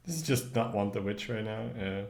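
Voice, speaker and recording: A young man, a native Dutch speaker, giving casual live gameplay commentary, thinking aloud in slangy English as he streams. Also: lowish voice. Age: young adult. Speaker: game streamer. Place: indoor room at a desk, streaming webcam. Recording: roomy echo, faint background noise.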